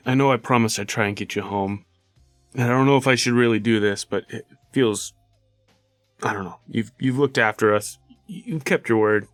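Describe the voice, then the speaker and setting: A man playing a tabletop roleplaying game, talking casually and haltingly as he thinks aloud. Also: lowish voice, dry recording.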